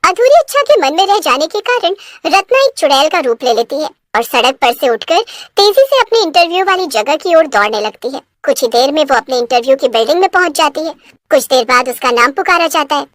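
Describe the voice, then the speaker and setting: A woman narrator with a high, lively voice tells a scripted cartoon tale. Her pitch moves freely, and the recording is dry with no room sound. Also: a little fast, no echo. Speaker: story narrator.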